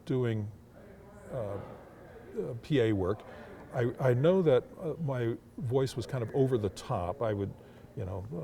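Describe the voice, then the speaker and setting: An older man, a public address announcer, reflecting in an interview in a deliberate, hesitant way with formal wording. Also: mid-range voice, mellow.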